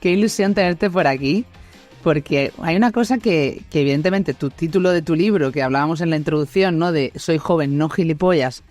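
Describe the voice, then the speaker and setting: A woman's voice: deep voice, fairly quick, a little mellow, faint music behind. A woman in her thirties with an Andalusian accent from Málaga, hosting a podcast in a chatty, laughing way full of tag questions. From Andalusia, Spain. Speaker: podcast host. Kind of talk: casual chat.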